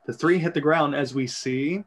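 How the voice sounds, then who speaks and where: A man's voice: a little high, normal speed. A man reading stage directions as narrator in an amateur script reading.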